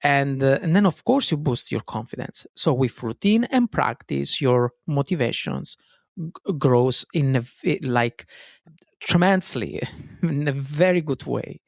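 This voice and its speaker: A man with an Italian accent speaks alone as a podcast host, with a gravelly edge to his voice. He talks earnestly, often stops to correct himself, and keeps the listener close with casual fillers. The sound is dry and close, with no room echo. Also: medium pitch, expressive, a little slow. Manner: earnest, self-correcting, frequent fillers and "guys". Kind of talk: solo self-help podcast monologue.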